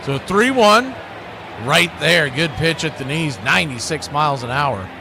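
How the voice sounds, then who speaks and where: Man calling baseball over steady noise. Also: a little high, unhurried. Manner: folksy, uses nicknames and catchphrases. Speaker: baseball play-by-play announcer. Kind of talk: live sports commentary.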